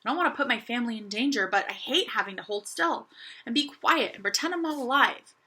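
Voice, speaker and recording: A woman's voice: fairly high pitch, lively intonation, fast, bright, forceful tone, pitched above the performer's own voice. A woman voicing a young girl doll in a children's story, eager, earnest and confiding, restless for adventure. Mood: restless, longing. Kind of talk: children's audiobook character voice.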